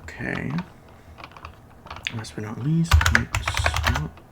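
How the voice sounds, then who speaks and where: A soft-spoken ASMR performer in a repair roleplay as a computer technician, murmuring haltingly while absorbed in the task. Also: medium pitch. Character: unhurried computer technician repairing a robotic client. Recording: loud fan noise, home recording. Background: PC fan, keyboard typing, clicks, creaking chair.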